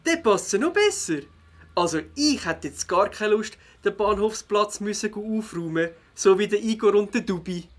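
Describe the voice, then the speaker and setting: A woman's voice: medium pitch, lively intonation, normal speed, close to an everyday voice. A woman playing an eager, friendly school child in a children's radio play, chummy and enthusiastic, with a Swiss German accent.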